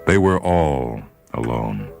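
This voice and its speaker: A man reading science fiction as scripted dramatic narration, with a measured, solemn delivery. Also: rumbling low, expressive, vocal fry, darkish tone, faint music behind. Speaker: story reader and programme host.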